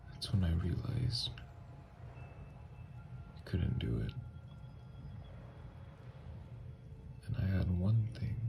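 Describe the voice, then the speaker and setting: A man playing a tormented, lovestruck young vampire in an intimate ASMR roleplay, speaking in a slow, halting, hushed murmur. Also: deep voice, creaky, background noise, heavily acted. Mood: pained, earnest. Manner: slow, halting, hushed confession with long pauses. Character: tormented, lovestruck newly turned male vampire, confessing tenderly. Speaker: ASMR voice actor.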